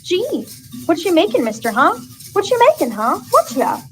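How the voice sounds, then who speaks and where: A woman's voice: very high voice, wildly swooping pitch, soft background music, heavily acted. A woman playing an inquisitive, pestering little neighbor girl in an old-time radio comedy. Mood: eager, pestering. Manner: wide-eyed, pestering, drawn-out childish questions.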